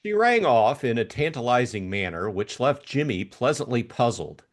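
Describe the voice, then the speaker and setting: A man's voice: mid-range voice, very animated, normal speed. A man reading scripted story narration as an audiobook narrator.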